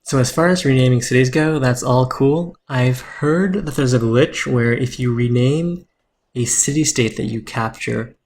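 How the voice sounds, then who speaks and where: Man, mid-pitched, soothing voice; calm live game streamer explaining moves, keyboard clicks behind. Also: a little slow.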